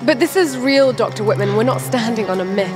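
A woman's voice: fairly high pitch, expressive, a little fast, music in the background, natural voice. A woman acting a young, earnest, determined explorer in a video game cutscene, easily alarmed. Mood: curious, serious. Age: young adult.

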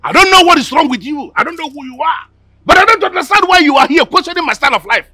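Male actor as a pompous, short-fused chief: high, bright and fast-talking, dry close sound. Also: big pitch swings, dry recording, heavily acted. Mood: indignant. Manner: blustering, repeats words, shouting. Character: pompous hot-tempered man, a rich regular. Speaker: angry customer called Chief.

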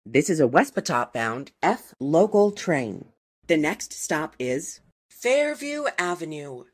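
A woman's voice: low-pitched, sing-song, takes it slowly, husky. A woman giving scripted, formal train announcements in segmented phrases, like an automated transit system.

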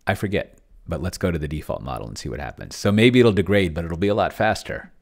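A man's voice: low-pitched, expressive, fast, vocal fry. A middle-aged man giving casual livestream commentary, rambling and thinking aloud as he types. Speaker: host of a solo tabletop game video.

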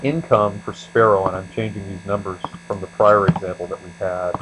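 A man giving an explanatory screencast lecture as an instructor, speaking deliberately and letting the ends of phrases trail off. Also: sits low. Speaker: accounting instructor. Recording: steady mains hum behind voice.

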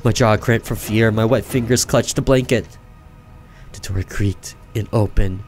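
A man reading a horror visual novel aloud in a slow, theatrical, suspenseful narration. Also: lowish voice, fairly even intonation, darkish tone, soft background music. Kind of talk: dramatic narration of a horror story.

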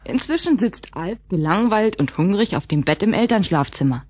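A woman's voice: medium pitch, expressive, normal speed, background noise, lightly acted. A woman narrating a German radio play as its storyteller.

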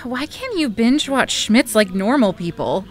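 A woman's voice: on the high side, very animated, a little slow. An actress playing a heartbroken, self-pitying woman with a sardonic, casual way of talking, in a naturalistic audio drama. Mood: sardonic, sulky.

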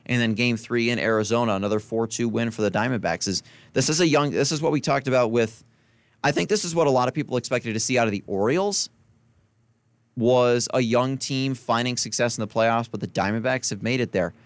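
A young man hosts a college radio sports podcast, reading off game times and scores in a loose, chatty way and breaking off for quick asides. His voice is smooth and resonant. The close studio mic sounds dry and tight. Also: medium pitch, no echo. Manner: casual, chatty, self-correcting with asides. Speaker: podcast host. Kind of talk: solo sports podcast rundown.

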